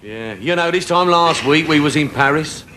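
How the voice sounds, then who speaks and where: A man's voice: a little high, animated, normal speed, natural voice. A man playing a chatty, easygoing working-class English van driver in a British TV sitcom. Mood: chatty, obliging. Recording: noisy old TV sitcom recording.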